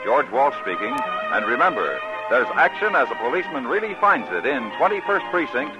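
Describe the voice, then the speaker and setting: Animated American old-time radio announcer, male. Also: medium pitch, fairly quick. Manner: polished, emphatic. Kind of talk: 1950s radio announcing, scripted. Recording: muffled old radio recording with music.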